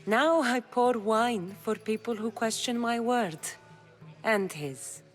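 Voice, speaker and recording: A tough mercenary warrior woman in a video game, dry and blunt. Her mid-pitched voice still moves with lively inflection, with faint music underneath. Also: slow pace. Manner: dry, blunt, matter-of-fact. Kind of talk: video game cutscene acting.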